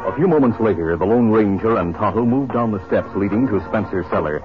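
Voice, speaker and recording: A man's voice: on the low side, a little fast. A man with an American accent, narrating dramatically as a 1950s radio announcer. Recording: muffled 1950s radio recording. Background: music.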